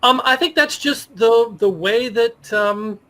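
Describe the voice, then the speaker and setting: A middle-aged man speaking as an interview guest in a casual, halting, self-effacing way about sports. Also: very high voice, pushed and bright.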